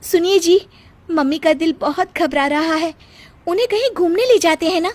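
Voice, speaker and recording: Woman acting a high-voiced young daughter-in-law. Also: moderate pace. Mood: polite. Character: young daughter-in-law, polite but evasive.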